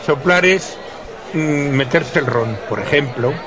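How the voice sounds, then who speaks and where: A man's voice: mid-range voice, a little slow. A man chatting casually with companions over drinks. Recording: noisy crowded place, chatter and background noise.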